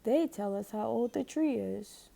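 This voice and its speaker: Gentle father bear read by a woman, easygoing, warm low tone, slight gravel. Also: measured pace, exaggerated voice. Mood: fatherly. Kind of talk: storybook read-aloud.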